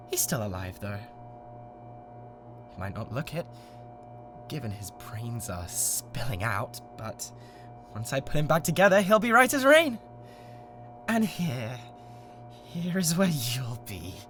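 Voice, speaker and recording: A young British man voicing an obsessive, menacing young male streamer in an ASMR audio drama, swinging between whispers and shouts. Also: a little high, exaggerated voice. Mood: cold menace. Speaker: voice actor.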